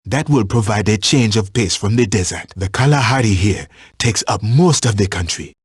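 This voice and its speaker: A man playing a watchful African safari hunting guide in a video game, giving instructions in measured, formal phrasing. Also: fairly low pitch, animated, husky, dry recording, clean studio sound. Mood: calm, instructive. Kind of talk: scripted video game voice lines.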